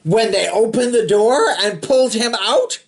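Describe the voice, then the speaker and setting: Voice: medium pitch, expressive, unhurried. A storybook reader reading aloud to children in a theatrical, playful way with chatty asides.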